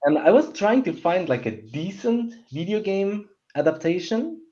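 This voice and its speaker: A man's voice: sits high, animated, moderate pace, dark tone. A man in a casual panel chat about opinions, rambling and stammering as he often restarts his sentences. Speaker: panelist on a film discussion show. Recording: dull, muffled lo-fi audio.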